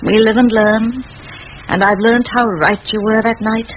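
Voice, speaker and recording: An actress playing a determined, wily middle-aged spinster schoolteacher in a radio play, with a genteel, theatrical, coaxing manner. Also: female voice, on the high side, fairly even intonation, unhurried, close to an everyday voice. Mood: feigned meekness. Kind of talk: old radio play acting. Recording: noisy, dull old radio recording.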